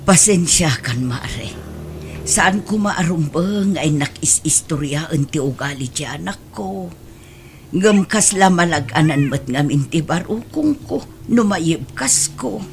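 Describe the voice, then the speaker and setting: A Filipino woman playing a meek, long-suffering older mother in a radio drama, speaking plaintively and gently. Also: deep voice, lively intonation, slow, slight background noise, exaggerated voice. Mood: sorrowful, apologetic.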